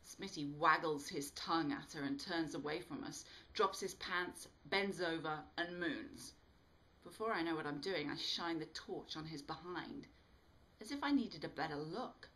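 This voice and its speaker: Lower-pitched woman reading a story expressively. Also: measured pace, rough recording. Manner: animated, dramatic delivery. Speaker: author reading from own book. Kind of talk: expressive storytelling read-aloud.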